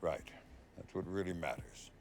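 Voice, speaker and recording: A man's voice: low-pitched, normal speed, noisy background. A man playing a grim, seasoned covert agent, terse and understated, in a game cutscene. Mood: dry, composed. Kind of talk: dramatic video game cutscene acting.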